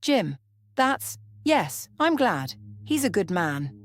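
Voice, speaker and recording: A woman voicing a guarded, gracious young noblewoman in an audio story, careful and gentle but final in manner. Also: medium pitch, sing-song, takes it slowly, voice pushed up. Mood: warmly glad.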